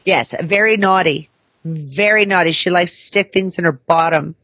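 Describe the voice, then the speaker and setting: A woman's voice: on the low side, normal speed, no echo. A woman co-hosting a casual podcast chat, talking frankly with many hesitations. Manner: frank, relaxed, hesitant fillers. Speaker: podcast co-host.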